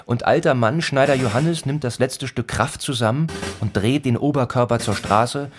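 An actor narrating a grimly comic village tale in a literary radio play, in a rhythmic, repetitive manner. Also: male voice, mid-range voice, moderate pace, close to an everyday voice. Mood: grim, insistent. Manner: incantatory, repeats phrases rhythmically. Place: studio radio play production.

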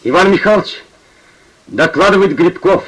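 A brisk police subordinate in a Soviet radio play. His voice is high, and he reports in clipped official phrases. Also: a bit bright, low-quality recording.

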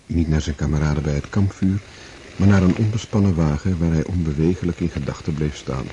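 A man narrating a radio drama in a measured, formal, literary storytelling manner. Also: a bass voice, warm tone, dull audio, slight background noise. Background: music.

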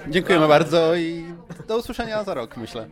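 A man's voice: a little high, big pitch swings, brisk. A man co-hosting a podcast, doing a casual, joking interview in a playful, teasing manner.

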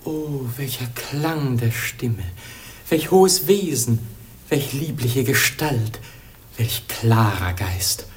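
An actor playing an ardent, confident young prince in a German radio play, declaiming poetically and rapturously. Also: male voice, mid-range voice, expressive, takes it slowly. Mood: enraptured.

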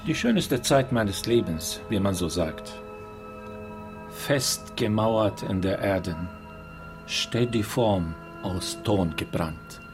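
A courteous middle-aged teacher from Syria in a radio crime drama. He speaks very slowly and carefully, halting as he goes, in a lowish voice with music clearly behind it. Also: lively intonation. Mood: wistful, polite. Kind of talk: naturalistic German radio crime drama acting.

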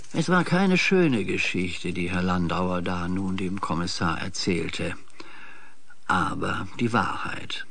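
A man narrating a children's audio drama, telling the story in a measured, scripted way. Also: low-pitched, gravelly, fairly bright tone.

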